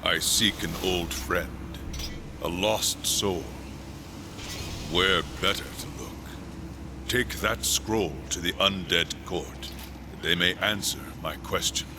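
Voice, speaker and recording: An actor playing a seasoned, steadfast angel warrior in a fantasy game, speaking formally and earnestly. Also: male voice, low-pitched, fairly even intonation, takes it slowly, noisy background. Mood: grave, steadfast. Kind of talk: dramatic game cutscene acting.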